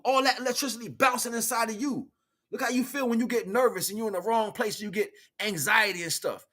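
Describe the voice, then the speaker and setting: A man with an American accent speaks in a slightly high, sharp-edged voice. He delivers a loose, improvised spiritual message in street slang. He leans on repetition to drive points home and slips into imagined back-and-forth dialogue. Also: slightly rough. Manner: emphatic, repeats phrases for stress. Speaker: spiritual teacher and livestream host. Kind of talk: improvised spiritual talk, casual street preaching.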